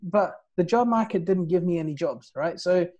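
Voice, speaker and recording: A man in his thirties, originally from Nepal, talks as a guest on an interview show. His voice sits a little high for a man, and he keeps tossing in "right?" and "like, you know" as he goes. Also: normal speed, a little mellow, muffled recording. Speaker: podcast guest. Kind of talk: casual interview, telling his life story.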